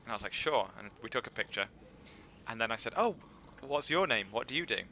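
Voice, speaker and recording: A man's voice: medium pitch, expressive, a little fast, pushed and bright, slight background noise. A man in his thirties with an English accent, a streamer telling self-mocking stories in casual chat through a headset mic in a small room. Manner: chatty, self-mocking storytelling with swearing.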